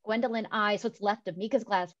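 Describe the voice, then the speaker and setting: A woman's voice: mid-range voice, fairly even intonation, fairly quick. A young woman reading stage directions as scripted narration through a headset on a video call. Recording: dull, lo-fi video-call audio.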